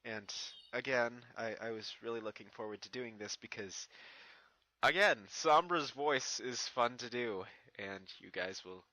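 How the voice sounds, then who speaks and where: A man's voice: mid-range voice, a little slow, bright, forceful tone, dry recording. A man who reads fan fiction aloud, in casual introductions and narrated story passages.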